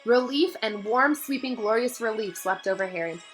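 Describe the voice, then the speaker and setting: A woman narrating a novel aloud in an expressive storytelling style. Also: on the high side, normal speed. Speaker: reader, narrator. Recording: music behind the voice.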